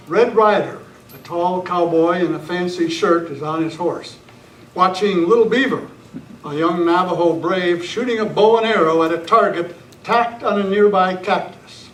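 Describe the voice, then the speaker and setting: Guy doing old timey radio announcer for kids, high voice, upbeat, echoey meeting room. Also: normal speed, a bit bright, soft background music. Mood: storytelling. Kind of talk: 1940s children's radio serial narration and sponsor pitch. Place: club meeting room, far camera. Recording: echoey, distant camera sound, faint noise.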